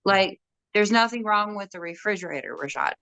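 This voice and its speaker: Loud, bright-voiced young woman. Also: mid-range voice, brisk, no echo. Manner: animated storytelling, quoting others. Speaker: house manager telling a work story. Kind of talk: casual conversation.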